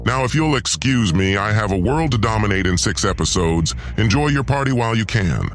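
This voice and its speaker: A man's voice: lowish voice, expressive, brisk, slight background noise, natural voice. A man voicing a haughty, menacing godlike tyrant in an audio drama, with a mocking, pompous, theatrical delivery. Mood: arrogant, menacing. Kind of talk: audio drama villain monologue. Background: background music.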